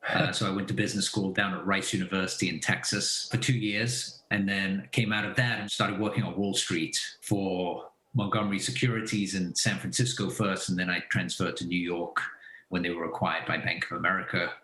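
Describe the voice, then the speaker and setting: A man's voice: deep voice, sharp tone. A man from Belgium giving conversational interview answers as a company founder and finance advisor. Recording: roomy echo.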